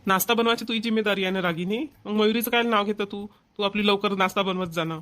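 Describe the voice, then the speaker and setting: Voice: mid-range voice, steady tone, fast, a bit bright, lightly acted. An actor playing an insistent, homely village brother in a family cartoon, with a rural Varhadi Marathi accent. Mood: impatient. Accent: Maharashtrian, rural Varhadi Marathi. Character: bossy but caring village brother and husband.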